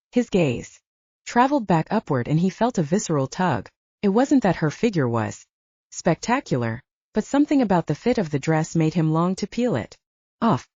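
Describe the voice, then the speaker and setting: A woman's voice: lowish voice, mellow, slightly rough, no room sound. A woman reading a scripted story as an audiobook narrator, in slightly dull audio.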